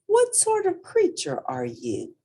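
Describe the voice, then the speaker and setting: A woman's voice: extremely high pitch, huge pitch swings, takes it slowly, mellow, pitched above the performer's own voice, heavily acted. A woman voicing a stubborn, curious young male hippo in a children's storybook, polite but determined. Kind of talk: children's storybook read-aloud.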